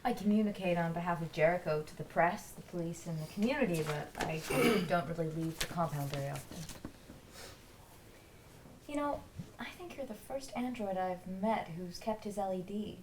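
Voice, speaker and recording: A woman's voice: on the low side, moderate pace, natural voice. A woman reading the part of a composed, polite android spokesperson in a drama script. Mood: composed, friendly. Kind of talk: table read of a drama script. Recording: faint background noise.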